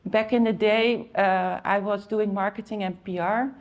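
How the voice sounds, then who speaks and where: A middle-aged woman with a Dutch accent speaks at an industry conference. Her talk is loose and conversational, full of fillers and restarts, and her pitch sits in the middle range. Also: steady tone, a little slow. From Netherlands.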